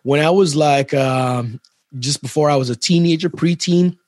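A man's voice: a little high, moderate pace, darkish tone, dry recording, clean studio sound. A middle-aged man from Trinidad, a musician and chef, chatting casually in an interview, laid-back and rambling, laughing often.

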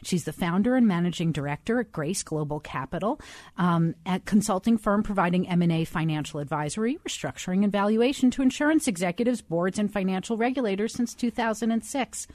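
A woman's voice: mid-range voice, fairly quick, darkish tone, no echo. A woman hosting a conversational interview, speaking as the presenter. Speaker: interview host. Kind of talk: conversational broadcast interview with scripted introduction.

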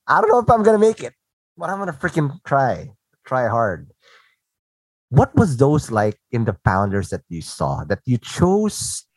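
A man from the Philippines who speaks with an American-sounding accent he learned at a call center, hosting a casual interview with emphatic, animated delivery. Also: mid-range voice, breathy edge, dry recording, low-quality recording. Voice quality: animated, emphatic, laughs often. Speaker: podcast host.

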